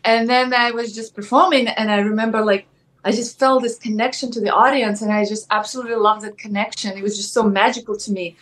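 A woman with a Ukrainian accent, chatting as a guest in an interview, soft-spoken and full of "like" fillers. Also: on the high side, fairly even intonation. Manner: soft-spoken, many "like" fillers, thoughtful pauses. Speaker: actress guest. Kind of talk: casual interview chat.

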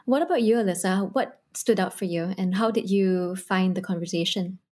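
Woman co-host in her reflective mode, mid-pitched voice, halting and thoughtful. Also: darkish tone. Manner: thoughtful, with frequent ums and rephrasing. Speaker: podcast co-host. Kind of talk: reflective discussion.

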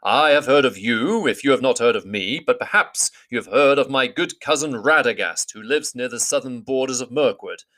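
A man voicing an old wizard, measured and formal in speech. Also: medium pitch, animated, a bit bright, pitched above the performer's own voice, natural voice. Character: old male wizard, courteous and grave.

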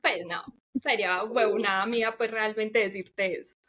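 A Colombian woman speaking candidly as an interview guest, colloquial and expressive, repeating words for emphasis. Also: mid-range voice, normal speed, slight background noise. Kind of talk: casual, candid chat.

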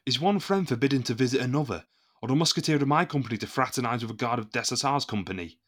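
A man's voice: medium pitch, animated, fast, fairly bright tone. A man playing a proud, loyal captain of the musketeers in a period audio drama, speaking formally and with indignant emphasis.

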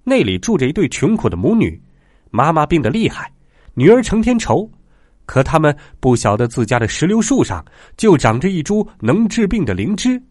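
Guy doing a storybook jackal, eager n kinda wistful, higher voice swooping around, music behind. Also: sing-song, normal speed, darkish tone, no echo, studio quality.

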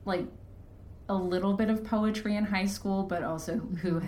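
A woman chatting casually as a podcast guest, candid and self-deprecating, quick to laugh. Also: mid-range voice, fairly even intonation, a little mellow, slight background noise. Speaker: podcast guest, fiction podcast creator and writer. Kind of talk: casual interview chat. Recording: roomy, echoey sound.

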